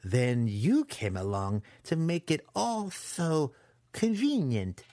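Fantasy audiobook villain played by a man: young dark elf apprentice, mocking and resentful, pitched up, very animated. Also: a little slow, no echo, studio quality. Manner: sneering, mocking.

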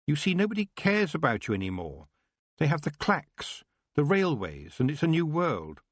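Man as girl witch, storybook heroine, high-pitched, earnest, firm, defiant, lively intonation. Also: moderate pace, no room sound. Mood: scornful, resolute. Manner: earnest, resolute, emphatic. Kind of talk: audiobook character voice.

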